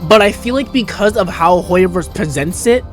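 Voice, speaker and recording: High-voiced man gushing over a video game. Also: lively intonation, normal speed. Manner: gushing, slangy, profane. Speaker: game streamer. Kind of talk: casual reaction commentary. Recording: game music behind the voice. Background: video game music and dialogue.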